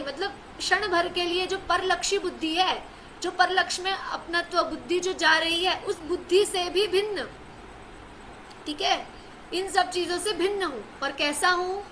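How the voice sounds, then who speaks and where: A woman's voice: high-pitched, pushed and bright, a little hiss or hum, poor audio. A young woman teaching a scripture class, explaining each point with rhetorical questions she answers herself and frequent check-ins with her listeners. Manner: asks and answers her own questions, repeats "है ना". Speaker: religious teacher.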